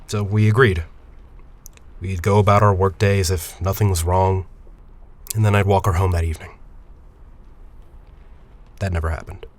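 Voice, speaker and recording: A man playing a composed, persuasive university headmaster in an audio drama, speaking in a formal, deliberate way. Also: deep voice, fairly even intonation. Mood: somber, confiding. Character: composed, persuasive university headmaster, grieving a colleague, quietly calculating.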